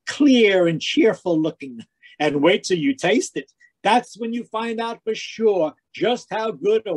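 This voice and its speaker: A man playing a cheery, folksy announcer and sponsor pitchman in the style of 1940s radio. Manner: folksy, hearty salesmanship. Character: cheery, folksy male radio announcer, eager sidekick listener. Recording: live video-call performance.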